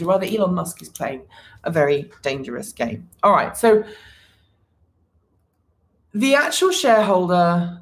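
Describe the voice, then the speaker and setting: A woman hosting a casual livestream, talking to viewers with many fillers and restarts. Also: medium pitch. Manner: chats with viewers, reads the chat. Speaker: livestream host.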